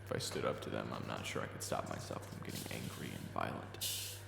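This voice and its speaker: Guy playing a worn-out brooding man w a buried temper, super deep n croaky, audio drama, roomy hissy recording. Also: expressive, fairly quick, full character voice. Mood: brooding, resigned. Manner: brooding when narrating, exasperated in dialogue. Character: weary reformed hot-tempered man, a soft-pushover boss. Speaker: narrator and lead character. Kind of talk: dramatic first-person audio roleplay.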